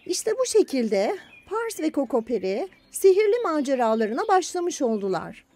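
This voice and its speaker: A woman voicing a cheerful, wide-eyed little girl in a children's cartoon, with expressive delivery. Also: high-pitched, moderate pace, no room sound. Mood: bright, explanatory. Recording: music bed behind the voice.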